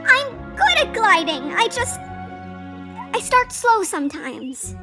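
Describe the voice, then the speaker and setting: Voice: very high-pitched, very animated, slow, music in the background, full character voice. A performer voicing a cautious, anxious young girl flying squirrel in a children's audio drama. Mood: defensive, glum.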